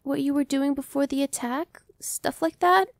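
A young woman voicing a gentle female vampire who speaks softly and slowly, sometimes whispering. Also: high voice, steady tone, no echo. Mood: soothing. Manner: soft, slow and reassuring, dropping to whispers. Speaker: voice actress. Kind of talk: ASMR roleplay.

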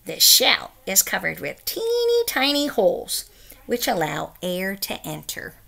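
Slow, expressive woman reading to children. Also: medium pitch, vocal fry. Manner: careful, expressive, emphatic phrasing for young listeners. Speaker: teacher reading aloud. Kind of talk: children's picture-book read-aloud. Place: small room at home, indoors.

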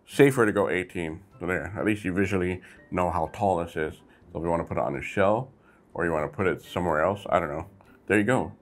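A man hosting a casual toy review vlog, chatty and easygoing, with sudden bursts of excitement. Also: deep voice, fast, soft background music.

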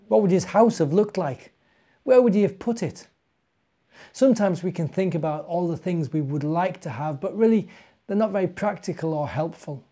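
A British man in his forties reading a children's storybook expressively and deliberately, as if to young listeners. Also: fairly high pitch, mellow. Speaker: church minister reading stories to children. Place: living room, indoors.

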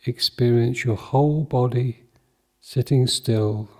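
A man leading a guided mindfulness meditation in a calm, measured, soothing manner, leaving long pauses between short phrases. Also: mid-range voice.

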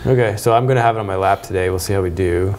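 A man's voice: low voice, racing, background noise. A man in his thirties with a Canadian accent chatting casually as a student pilot. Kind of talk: casual conversation. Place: small office indoors.

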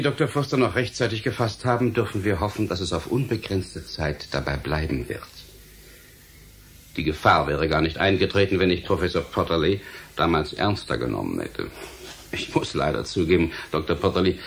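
Radio play casting: menacing official, low register, formal, measured, authoritative. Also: male voice, a little hiss or hum. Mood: formal, self-assured. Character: stern, menacing male government official guarding forbidden science. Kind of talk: German radio drama acting.

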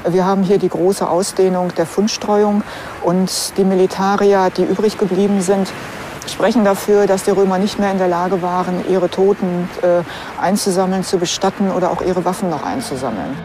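A woman's voice: fairly low pitch, fairly even intonation, normal speed, background noise. A woman explaining finds as an archaeologist in an unscripted documentary interview.

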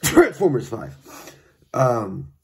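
Male, 20s, mid-pitched and a bit rough-edged, casual video host, dry delivery, recorded in a home bedroom. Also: big pitch swings, slow, a little mellow. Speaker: host of a trailer reaction video. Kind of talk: casual reaction commentary.

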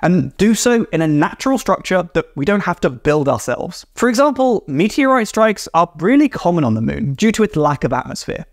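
A young man hosting a science explainer, talking to camera with clear, enthusiastic delivery from a small room. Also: a little high, dry recording, clean studio sound. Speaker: science video host. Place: small indoor room with shelf of models.

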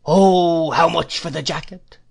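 A wild, whining old shopkeeper. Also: male voice, fairly high pitch, vocal fry, pitched above the performer's own voice. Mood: greedy, demanding. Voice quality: whining, with a rattle in the throat. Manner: monotonous sing-song that swells and falls, drawn-out exclamations. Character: dreadful ragged drunken old shopkeeper, fierce and grasping. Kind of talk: storybook character voice.

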